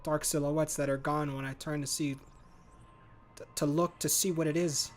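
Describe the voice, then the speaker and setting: A man's voice: fairly high pitch, steady tone, moderate pace, faint music behind. A man reading a horror visual novel aloud in first person, earnest and stumbling now and then over words. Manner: earnest, muttering inner monologue with slips. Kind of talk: first-person story narration.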